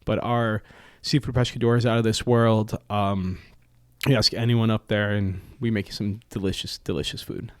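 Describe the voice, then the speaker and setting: A man's voice: lowish voice, fairly even intonation, moderate pace, clear, resonant tone, dry recording, studio quality. A young man whose first language is Italian, a podcast guest talking casually with lots of fillers and jokes. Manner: chatty, joking, many fillers. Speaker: podcast guest, restaurant owner. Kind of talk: casual interview talk. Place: podcast studio.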